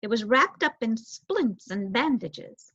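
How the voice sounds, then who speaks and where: A young woman giving an expressive reading of a children's novel as narrator. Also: on the high side, slow, husky. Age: young adult. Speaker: story reader, student. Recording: roomy echo, dull muffled sound.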